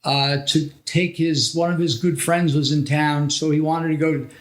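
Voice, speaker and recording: Middle-aged male podcast storyteller from New Jersey, fairly high voice, casual, rambling delivery. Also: flat delivery, normal speed. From New Jersey, USA. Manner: rambling, backtracking. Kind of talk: casual anecdotal chat.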